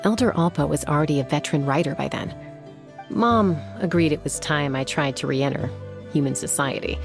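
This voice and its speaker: A woman's voice: deep voice, fairly quick, creaky. A woman playing a composed, frank young female warrior in a video game, speaking in a cool, matter-of-fact way. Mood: calm, frank. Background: music.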